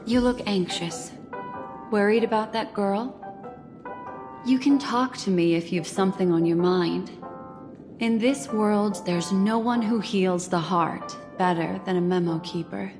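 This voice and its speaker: A woman voicing a poised, mysterious memory keeper in a video game, with an unhurried, coaxing, gently teasing manner. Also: medium pitch, close to an everyday voice. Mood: soothing, teasing. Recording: long reverb with clear background music.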